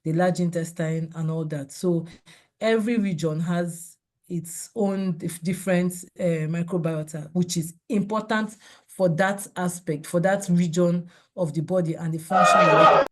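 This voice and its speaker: A woman with a cold, hoarse and clearing her throat now and then, giving a measured slide-based health seminar over a video call. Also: lowish voice, steady tone. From Nigeria. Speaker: seminar speaker. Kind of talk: online health talk with slides.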